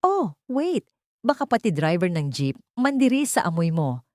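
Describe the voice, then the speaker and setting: A woman's voice: lowish voice, big pitch swings, moderate pace, darkish tone, no room sound, clean studio sound. A woman with a Filipino accent voicing a smug mistress with mock-pitying, sweetly cruel taunts in an audio story. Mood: gleeful mockery.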